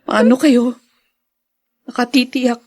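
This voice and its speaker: A wounded young filipina in a radio soap, slow and a bit hoarse, tearful pleading. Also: female voice, on the high side, fairly even intonation, a little mellow, dry recording, studio quality. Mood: hurt, solemn. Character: repentant young daughter, wounded but earnest. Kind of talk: Filipino radio drama acting.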